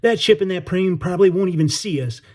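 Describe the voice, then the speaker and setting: A man voicing a frightened, exhausted young boy who shouts in a raised, strained voice. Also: sits high, quick pace, pitched above the performer's own voice. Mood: furious despair.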